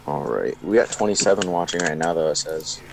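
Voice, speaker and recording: A man's voice: rumbling low, lively intonation, fairly quick, vocal fry, background noise. A young man chatting casually as a guest player on a game stream. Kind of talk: casual chat.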